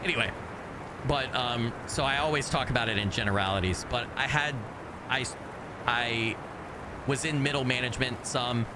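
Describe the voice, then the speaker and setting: A man's voice: mid-range voice, normal speed, noisy background. A man from the United States chatting casually with viewers while streaming a game, with a faint regional accent and a rambling way of talking that restarts sentences often. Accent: faint American regional. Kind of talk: casual gameplay chat with viewers.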